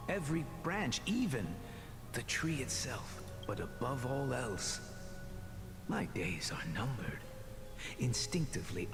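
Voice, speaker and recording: Solemn, expressive old sage. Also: male voice, mid-range voice. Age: older. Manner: solemn, formal, sighing, theatrical. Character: elderly male sage and teacher, grave, doubting, kindly. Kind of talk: scripted game voice acting. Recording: long reverb under loud game music.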